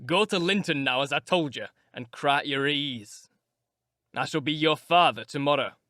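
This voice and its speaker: A man voicing a harsh, brooding, cruel grown man who speaks in grim, deliberate threats. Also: on the high side, pushed and bright, no room sound, clean studio sound, pitched below the performer's own voice. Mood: menacing.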